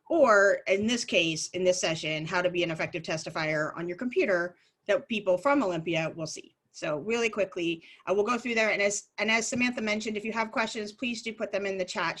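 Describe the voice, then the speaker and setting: Lady lobbyist giving a zoom training, voice going hoarse, cough drop in her mouth, lots of ums, mid pitch. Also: fairly bright tone. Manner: brisk and explanatory, frequent ums and restarts. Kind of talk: informal online training talk.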